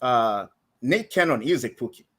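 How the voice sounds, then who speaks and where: A man's voice: mid-range voice, animated, moderate pace, a bit bright, breathy edge. A man from Nigeria with a Nigerian accent, calling in to a live stream show and talking hesitantly, with many fillers and restarts. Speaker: caller.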